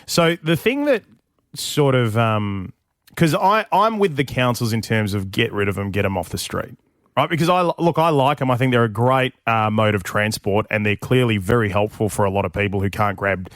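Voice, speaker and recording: A man's voice: fairly low pitch, lively intonation, moderate pace, a bit bright, no echo, clean studio sound. A man with an Australian accent co-hosting a radio show, chatting casually and giving his opinions. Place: radio studio. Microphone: close studio microphone.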